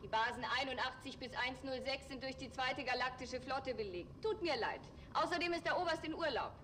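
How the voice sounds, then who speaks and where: A woman's voice: high-pitched, fairly quick, sharp tone. A woman playing a cool, unyielding space base controller in a 1960s German television science fiction drama. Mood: cool, cutting. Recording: noisy 1960s TV soundtrack.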